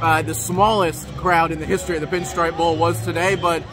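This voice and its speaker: A young man giving measured postgame analysis on a stadium field, filling with "you know". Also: fairly high pitch, little pitch movement. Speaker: co-host. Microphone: phone selfie at arm's length. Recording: stadium music playing behind.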